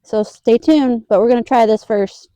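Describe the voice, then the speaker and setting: A woman hosting a casual food vlog outdoors at a campground at night, talking slowly and chattily with fillers. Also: fairly high pitch, dark tone, dry recording. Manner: slow, drawn-out, chatty with fillers. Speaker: vlog host.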